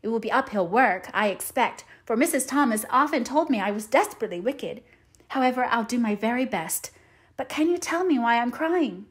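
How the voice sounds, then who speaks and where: Woman doing a wistful chatty orphan girl for a storybook, high voice, very earnest and dramatic. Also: lively intonation, brisk, lightly acted. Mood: tearfully happy. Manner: effusive, earnest, dramatic phrasing. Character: eager, imploring, dreamy orphan girl, wistful and talkative. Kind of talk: audiobook character voice.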